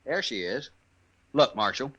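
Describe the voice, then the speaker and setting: Cocky frontier townsman: sharp, jumpy mid-range voice, hostile, sneering, rustic, radio western. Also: mid-range voice, animated, a little slow. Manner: combative, sneering rustic talk. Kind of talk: old-time radio western acting.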